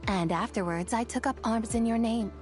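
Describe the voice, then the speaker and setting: A woman's voice: a little high, moderate pace, darkish tone, lightly acted. A woman playing a haughty, sly, ancient female horse spirit in a fantasy game, with theatrical, archaic, teasing formal speech. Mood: probing. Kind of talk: fantasy game cutscene acting. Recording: music behind the voice.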